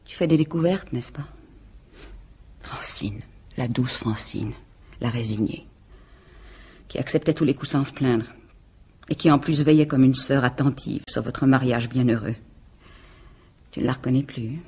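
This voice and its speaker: A husky, vengeful scorned woman. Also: rumbling low, unhurried, long pauses, darkish tone, full character voice. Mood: sardonic, reproachful. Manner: theatrical, sardonic, menacing monologue. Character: bitter, jealous, vengeful scorned woman turned cold killer. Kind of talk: 1950s French radio drama acting.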